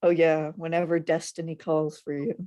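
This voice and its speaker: A woman's voice: low-pitched, little pitch movement, moderate pace, warm tone, dull audio, natural voice. A woman playing a teasing, pressing teenage friend in a script table read. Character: teasing, needling teenage friend of a girl leaving town.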